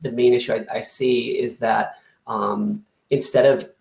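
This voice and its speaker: A man's voice: mid-range voice, fairly even intonation, unhurried, gravelly, a little mellow, echoey room. A man, a company co-founder, speaking earnestly on an online panel with frequent hesitations, over a video call. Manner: earnest, many fillers and restarts.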